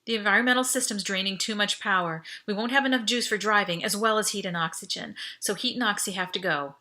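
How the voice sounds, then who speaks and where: A woman's voice: medium pitch, quick pace, bright, forceful tone, close to an everyday voice. A woman voicing a capable, wry young space-cart driver in an audiobook, speaking with urgency and reassurance. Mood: urgent. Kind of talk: audiobook character dialogue.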